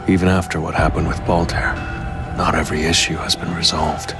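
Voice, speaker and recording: An actor playing a calm, resolute young male hunter in a game, speaking in a measured, serious way. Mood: brooding, resolved. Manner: measured, low-key, serious. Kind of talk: dramatic game voice acting, inner monologue and dialogue. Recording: loud background music under the voice.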